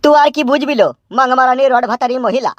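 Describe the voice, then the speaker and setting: A man's voice: very high-pitched, quick pace, a bit bright, no echo, highs cut off. An actor voicing an anxious, scolding old cartoon father with a rural West Bengal Bengali accent, nagging and repeating words. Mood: exasperated scolding. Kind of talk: comic cartoon dubbing.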